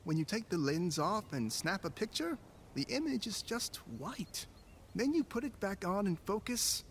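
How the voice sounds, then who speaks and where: A man's voice: high-pitched, lively intonation, moderate pace, slight background noise. An actor playing a creepy, falsely genteel male photography teacher in a visual novel, speaking in a theatrical, overly polite way. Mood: eerily earnest. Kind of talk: scripted visual novel voice acting.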